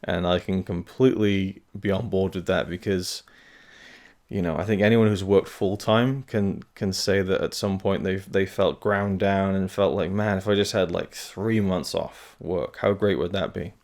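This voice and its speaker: A man's voice: low voice, steady tone, normal speed, darkish tone. A man hosting his own channel, giving a casual update to camera in a hesitant, rambling way, talking carefully around tooth pain. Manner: rambling, hesitant, many fillers and restarts. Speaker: film review channel host.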